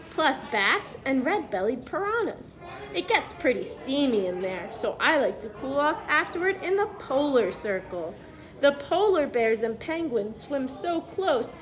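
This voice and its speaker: High-pitched woman reading aloud to children. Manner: instructive, pausing to question young listeners. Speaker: preschool teacher. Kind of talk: children's picture book read-aloud with questions.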